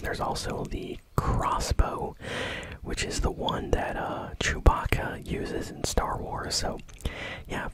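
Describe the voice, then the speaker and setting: An ASMR gaming news host who speaks entirely in a whisper. The delivery is breathy, soft and informal, feeling its way through the commentary with a hesitant, conversational manner. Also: extremely high pitch.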